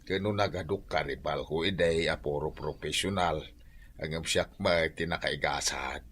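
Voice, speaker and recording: A man's voice: rumbling low, moderate pace, fairly bright tone, husky, full character voice. An actor with an Ilocano accent playing a teasing, middle-aged male confidant in a radio drama. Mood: wry. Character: older male confidant, slow, teasing, wry.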